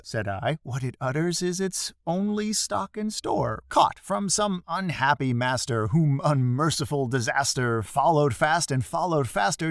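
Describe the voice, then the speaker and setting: A man giving a dramatic, expressive recitation of a classic poem, drawing out emphatic phrases for effect. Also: on the high side, no room sound, studio quality. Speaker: poetry reader.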